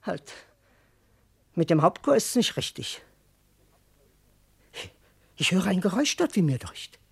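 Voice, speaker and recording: An actor playing a cowardly, boastful, cheeky servant in a classical verse radio play, declaiming in a wordy, comically self-important way. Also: male voice, high voice, very animated, unhurried, vocal fry, breathy edge, full character voice. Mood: frightened, wary.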